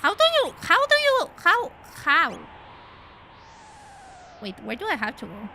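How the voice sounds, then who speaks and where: Chatty gamer girl from chile, kinda creaky voice, swears here and there. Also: very high voice, huge pitch swings, measured pace, noisy background. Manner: chatty, exclamatory, swears casually. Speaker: streamer. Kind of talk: casual gaming livestream chat.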